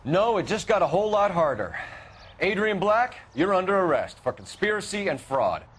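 A man's voice: high-pitched, big pitch swings, moderate pace, a bit bright, slightly rough, full character voice. A man playing a stern, determined police detective in a video game, pressing suspects in a firm, accusatory, commanding way. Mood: urgent, commanding. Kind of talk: scripted video game acting.

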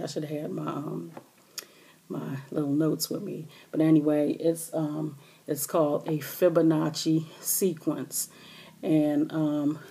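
Woman, middle-aged, hoarse, low pitch, hushed rambling talk, webcam in a bedroom. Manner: talking low and hushed, rambling with many fillers. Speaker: vlogger. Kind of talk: casual direct-to-camera update. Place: small bedroom indoors. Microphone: webcam or laptop camera close up.

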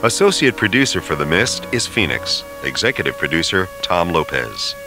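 Very deep male announcer over music. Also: very animated, normal speed. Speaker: announcer reading credits. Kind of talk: scripted radio credits narration.

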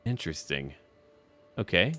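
A man's voice: low voice, lively intonation, unhurried, pushed and bright. A man giving casual, in-character commentary on a strategy game, thinking aloud in short remarks as if talking to the characters. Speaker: gaming video host. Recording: music behind the voice. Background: background music.

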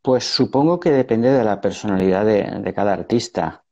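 A man's voice: fairly low pitch, moderate pace, creaky, a little mellow, no room sound, muffled recording. A man in his fifties with a Valencian Spanish accent, a painter answering interview questions hesitantly on a video call, often restarting his sentences. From Valencia, Spain. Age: 50s. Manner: hesitant, many restarts and fillers. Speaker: painter, guest. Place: room at home.

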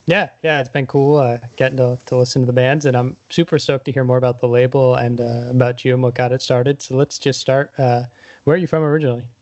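A man's voice: medium pitch, level tone, fast talker, darkish tone, no room sound, lo-fi sound. A man hosting a podcast, introducing and interviewing his guest. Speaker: podcast host.

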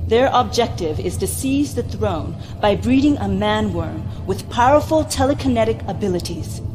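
An actress playing a cold, formal adviser in a science fiction game, briefing in a measured, clinical way. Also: female voice, mid-range voice, animated, natural voice, rough recording. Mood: grave, clinical. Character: cold, formal woman adviser of a scheming merchant house. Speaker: mission briefing officer. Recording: music behind the voice.

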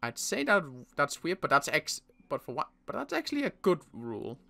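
A young man playing a weary survivor in a Minecraft roleplay, talking in halting bursts with sighs and restarts. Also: a little high, wildly swooping pitch, creaky, sharp tone. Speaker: roleplay player and channel host.

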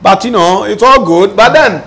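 High-pitched, emphatic Ghanaian man. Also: lively intonation, normal speed, a bit bright. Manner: emphatic, questioning, repeats phrases. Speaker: political commentator. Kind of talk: animated political discussion, switching between languages. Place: indoor studio set.

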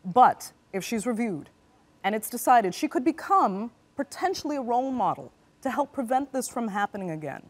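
A woman in her thirties hosting a TV news discussion in a studio, putting probing, measured questions to her guests. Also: a little high, big pitch swings. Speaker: news show host. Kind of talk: TV news interview.

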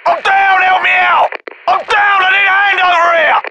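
A man's voice: extremely high pitch, flat delivery, normal speed, creaky, lo-fi sound, very noisy. An actor playing an embattled British SAS soldier in a shooter game, calling urgently to his squad in clipped phrases. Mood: urgent, strained. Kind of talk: military shooter battle chatter.

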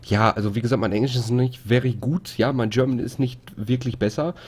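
A man's voice: medium pitch, fairly quick, a little mellow, breathy edge. A man streaming a game live, chatting casually and rambling with many fillers while he greets viewers. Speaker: let's play streamer. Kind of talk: casual live-stream commentary over gameplay.

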